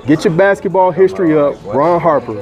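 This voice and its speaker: An American man hosting a sneaker vlog in a store, bantering casually in an excitable, joking, slangy way. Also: on the high side, a little fast. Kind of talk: casual banter while browsing. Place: inside a mall sneaker store. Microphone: handheld phone or camera mic. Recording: loud music behind, store noise. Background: loud music.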